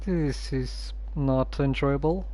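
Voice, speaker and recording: A streamer casually commenting on a story game with a hesitant, musing, deadpan way of talking. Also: medium pitch, slow, slight background noise.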